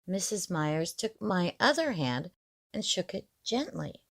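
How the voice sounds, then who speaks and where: A woman narrating a scripted story in the first person, in a measured, expressive storytelling manner. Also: on the low side, gravelly, no room sound, studio quality.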